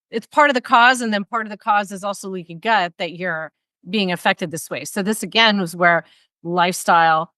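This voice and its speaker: A woman's voice: mid-range voice, sharp tone, no echo. Chatty Northern woman host, dry sound. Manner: affirming, quick to laugh, salty language. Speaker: podcast host. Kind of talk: casual podcast conversation.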